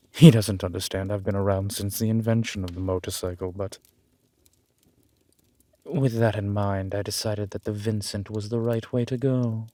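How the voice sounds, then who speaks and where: Man acting as centuries-old vampire, urbane, formal, unhurried speech, lowish pitch, dry condescension, roleplay audio. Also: mellow, lightly acted. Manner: measured, formal, deliberate, drawn-out phrasing. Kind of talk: scripted audio roleplay drama.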